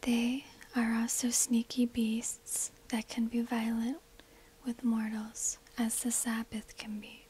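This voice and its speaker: Whispering woman, breathy ASMR narration. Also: on the high side, low-quality recording. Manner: slow, deliberate, hushed delivery. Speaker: ASMR video host and shopkeeper. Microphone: close binaural microphone.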